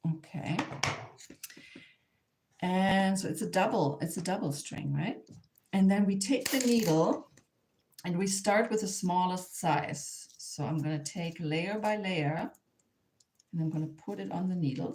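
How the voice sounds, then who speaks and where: A woman from Germany with a German accent gives an informal hands-on craft demonstration in English as a second language, sometimes halting and repeating herself as she searches for words. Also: on the low side, a little mellow. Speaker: craft instructor, artist.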